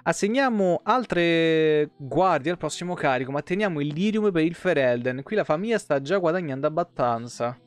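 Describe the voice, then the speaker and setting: A man's voice: fairly high pitch, animated, moderate pace, hardly any pauses. A man, a streamer reading game lore aloud with casual, sweary asides and the odd stumble. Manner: colloquial, profane, stumbles and self-corrects while reading. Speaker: live streamer. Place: small room at home. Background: faint background music.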